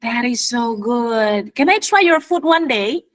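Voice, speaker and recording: A woman's voice: on the high side, measured pace. A woman with an Indonesian accent teaching an English class online, leading a role-play and mixing Indonesian with English. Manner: lively, switches between Indonesian and English.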